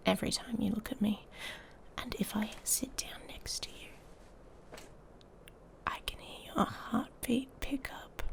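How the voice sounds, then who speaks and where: Woman acting a slow, breathy, haughty she-wolf. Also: mid-range voice, darkish tone. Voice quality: breathy, often dropping to a whisper.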